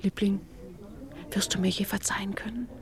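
An actress in a German radio drama playing a loyal, loving wife, speaking intimately and expressively, incredulous and protective. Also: female voice, mid-range voice, fairly even intonation, normal speed, crowd noise, lightly acted. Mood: scornful, tender.

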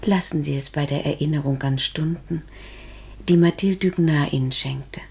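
An actress in a 1970s German radio play playing a sorrowful, dignified courtesan who speaks formally and solemnly, sometimes near a whisper. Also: female voice, low voice, creaky, a little mellow, breathy edge, exaggerated voice. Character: sorrowful, dignified courtesan confessing to her noble lover.

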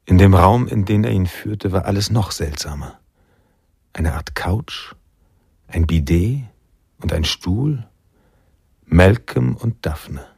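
A brooding narrator of a radio crime drama. His deep voice is creaky and dry, telling the story in a measured, grave way. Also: animated, darkish tone, dry recording, heavily acted. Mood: somber. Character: brooding male film-noir narrator recalling his friend's escape.

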